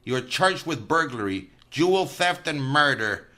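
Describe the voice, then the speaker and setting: A man's voice: on the high side, expressive, measured pace, pushed and bright. An actor playing a tough, commanding and contemptuous lawman in a radio mystery. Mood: harsh commanding. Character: tough, scornful lawman barking orders at criminals.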